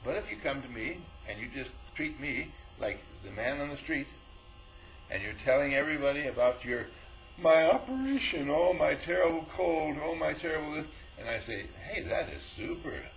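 A man's voice: on the high side, normal speed, slight background noise, rough recording. A man giving an informal spiritual talk as a teacher, telling stories and acting out both sides of conversations with frequent chuckles. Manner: animated storyteller, acts out dialogue, chuckles often.

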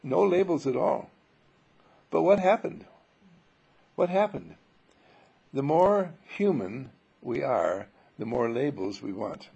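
A man giving an informal spiritual sermon full of rhetorical questions, emphatic and dramatic, with a husky, gravelly voice. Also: medium pitch, animated, slow pace, long pauses, mellow, dull audio. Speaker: spiritual teacher.

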